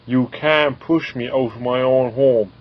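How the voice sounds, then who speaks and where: A man's voice: mid-range voice, fairly even intonation, measured pace, natural voice. An actor playing a bitter, angry husband and father in an audio drama, sarcastic and accusing. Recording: dull lo-fi audio.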